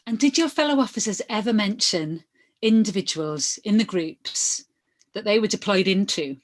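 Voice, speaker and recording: A woman playing a female lawyer in a verbatim hearing reading. She questions formally and methodically, in a measured, probing manner at a middling pitch. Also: expressive, close to an everyday voice.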